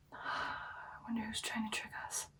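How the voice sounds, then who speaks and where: A woman playing a caring, anxious vampire girlfriend in a whispered ASMR roleplay, speaking softly and haltingly in a soothing way. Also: recorded from a distance, lightly acted. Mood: wary, puzzled. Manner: soft, hushed, halting, soothing personal attention.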